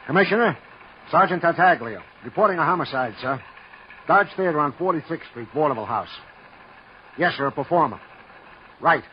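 Actor as higher-pitched clipped police sergeant. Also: male voice, animated, fairly quick, pauses often, lightly acted. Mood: brisk, official. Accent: American. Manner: clipped, businesslike phrases. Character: harried, plain-spoken male police sergeant working dispatch. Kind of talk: old-time radio crime drama acting. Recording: dull, noisy old radio recording.

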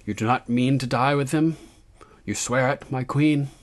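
A loyal knight, played by a male audiobook reader, speaks in a mid-pitched voice. He is grave and earnest, a devoted servant addressing his queen. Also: steady tone, moderate pace, natural voice. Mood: anxious. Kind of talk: audiobook character acting.

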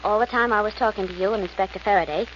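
A woman's voice: mid-range voice, very fast, close to an everyday voice. An actress playing a detective's plucky, playful girlfriend in 1940s radio drama style, with a coy, breezy manner. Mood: earnest. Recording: muffled, noisy old radio recording.

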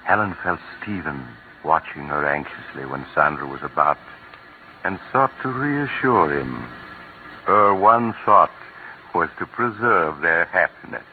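A man giving measured, grave scripted narration for a vintage radio drama. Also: sits low, big pitch swings. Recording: tinny, hissy old radio broadcast.

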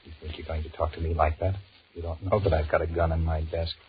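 A man's voice: extremely low pitch, flat delivery, fast. An actor playing a nervous middle-aged married businessman, blustering and stammering, in 1940s radio drama style on a muffled, noisy old recording. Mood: cornered, stammering. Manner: stammering aloud, muttering anxious repeated thoughts. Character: panicky married businessman, blustering and cowardly, secretly scheming. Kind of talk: 1940s radio horror acting with inner monologue.

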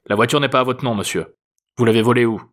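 A man's voice: medium pitch, fast, a bit bright, lightly acted. A man voicing a jaded, suspicious policeman in an audiobook story, with a curt, weary manner. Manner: curt, would-be firm, weary.

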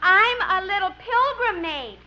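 Giggly silly young gal, super high voice, muffled old radio comedy. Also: female voice, animated, a little slow, over a noisy crowd. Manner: giggly, self-conscious. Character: silly, giggly young woman in amateur pageant. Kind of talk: 1950s radio comedy acting. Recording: muffled 1950s radio, loud studio audience.

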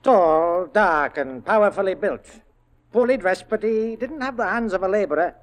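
An actor playing a fussy middle-aged householder in a 1940s radio drama. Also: male voice, a little high, animated, normal speed, fairly bright tone. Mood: earnest.

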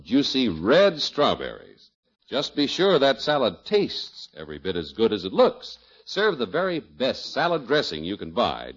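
Very animated American male radio announcer. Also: on the low side. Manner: emphatic, salesmanlike. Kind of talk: polished 1950s radio advertising and narration. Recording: muffled vintage 1950s radio recording.

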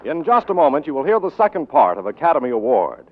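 A tinny old radio recording carries a male announcer with a slightly high, very lively voice. He reads scripted ad copy in a polished 1940s salesman style. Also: quick pace, background noise.

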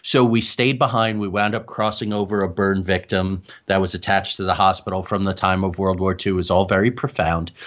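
A man telling stories as an interview guest, halting and digressive as he talks. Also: low voice, fairly bright tone, dull audio. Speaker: podcast guest, paranormal investigator. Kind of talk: conversational storytelling in an interview.

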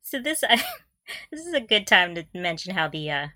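A woman's voice: fairly low pitch, very animated, normal speed, pushed and bright, no room sound, studio quality. A woman co-hosting a casual fan podcast, bantering in a mock-serious, giggly way. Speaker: podcast co-host.

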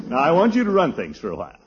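Jovial, pompous uncle, American radio sitcom, lively swoops, muffled 1950s recording with heavy noise. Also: male voice, fairly high pitch. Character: jovial, blustery middle-aged uncle, head of the household.

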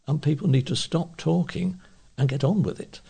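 A man's voice: a little high, sing-song, normal speed, creaky, dark tone, husky. A man reading local newspaper reports aloud as a volunteer newsreader.

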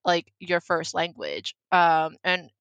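A woman's voice: fairly low pitch, sing-song, slow, fairly bright tone, clear, resonant tone, no echo, highs cut off. A young woman whose first language is Mandarin, telling a story in casual chat, full of "like" fillers and acted-out quotes. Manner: chatty, lots of "like" fillers, acts out quotes.

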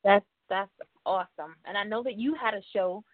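A woman's voice: mid-range voice, a little fast, noisy background. A woman hosting a casual radio interview, encouraging and full of "um" and "you know" fillers. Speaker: radio host. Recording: phone-line quality, dry.

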